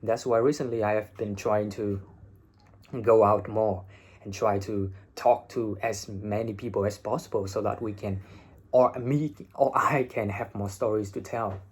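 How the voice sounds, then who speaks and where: A young man from Vietnam with a Vietnamese accent and a slightly husky voice, giving blunt, informal English-learning advice and swearing freely, recorded on a phone. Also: lowish voice, steady tone, unhurried. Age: young adult. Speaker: English teacher and video host. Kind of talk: casual advice talk to camera. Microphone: phone, no separate microphone.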